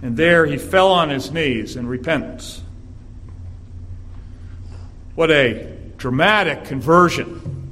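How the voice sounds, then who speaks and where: A man with a raspy voice, a guest preacher delivering an earnest sermon in a church and reading scripture aloud. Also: a little high, sharp tone. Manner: earnest, measured preaching with filler "uh"s.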